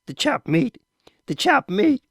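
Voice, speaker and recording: A man's voice: a little high, animated, a little fast, fairly bright tone, no echo, studio quality, voice pushed up. A man reading aloud voices a stern, wealthy father who questions his son firmly. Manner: firm, clipped and questioning.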